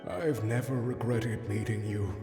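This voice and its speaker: A man's voice: fairly low pitch, fairly even intonation, measured pace, natural voice. An actor playing an earnest, tender man in a dramatic video game scene. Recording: echoey reverberant hall, music behind.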